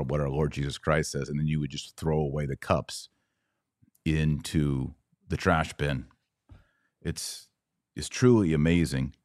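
American male podcast host, low-pitched, emphatic and conversational, opinionated religious commentary, very dry recording. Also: a little mellow, dry recording. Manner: emphatic, conversational, rhetorical asides.

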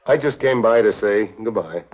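Low, deadpan American tough guy. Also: moderate pace. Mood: cool, sardonic. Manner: clipped, deadpan, sardonic. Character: tough, wry American secret agent, cool and sardonic. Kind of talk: 1950s hard-boiled spy drama acting and narration. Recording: dull 1950s TV film soundtrack.

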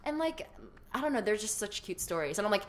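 A young woman hosting a podcast, chatting casually with a friend, full of likes and ums and quick laughs. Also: mid-range voice, big pitch swings. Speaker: podcast host. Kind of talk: casual chat.

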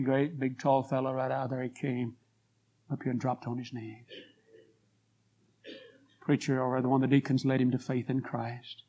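A hoarse man preaching a revival sermon in a church, telling a story and building to fervent appeals. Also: mid-range voice. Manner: rising to fervent pleas, then quiet prayer. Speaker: guest preacher. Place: church sanctuary.

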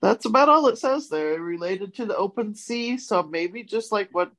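A woman's voice: medium pitch, animated, normal speed, a bit bright. A woman hosting a casual word game, reading out obscure words and spelling them letter by letter. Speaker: quiz host giving obscure words.

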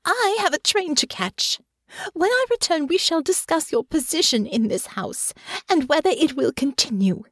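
A woman's voice: high-pitched, lively intonation, normal speed, dry recording, clean studio sound, voice pushed up. A woman voicing a proud, wounded young noblewoman in an audiobook, imperious and angry, tightly controlled or sharply snapping. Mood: cold fury. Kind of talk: audiobook character acting.

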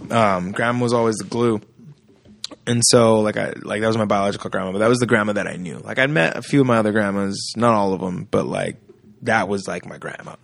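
A gravelly-voiced man in his thirties chats casually as a musician guest on a podcast. He tells stories with a lot of energy and acts out the people he quotes. His voice is recorded dry and close. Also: fairly low pitch, a little fast, no echo. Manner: animated storyteller who acts out quoted voices. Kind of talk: casual chat.

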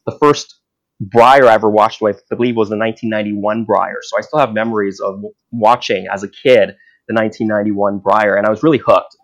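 A man in his thirties from Hong Kong, chatting as an interview guest. He talks in a slightly low voice, hesitant, full of fillers and restarts. The audio is dull and lo-fi. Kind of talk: casual interview chat.

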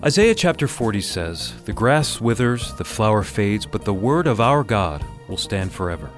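A man's voice: on the low side, very animated, moderate pace. A man with an American accent reading scripted introductions and announcements as co-host of a radio program. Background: soft guitar music.

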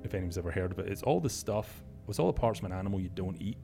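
Deep-voiced Glaswegian man chatting. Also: expressive, very fast, vocal fry, over music. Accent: Scottish, Glasgow. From Scotland. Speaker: interview guest. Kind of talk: casual interview chat.

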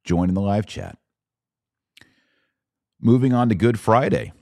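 A man's voice: lowish voice, unhurried, creaky, darkish tone, no room sound, studio quality. A man hosting a religious podcast, explaining things in a conversational, didactic way with emphatic restatements. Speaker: podcast host.

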